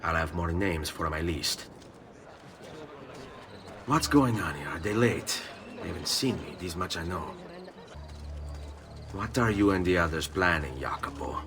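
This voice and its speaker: An actor voicing a young, vengeful Italian assassin in a video game, with an Italian accent and a terse, controlled, solemn manner. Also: male voice, deep voice, expressive, unhurried, pauses often, a bit bright, full character voice. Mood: determined. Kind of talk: video game dramatic acting. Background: music.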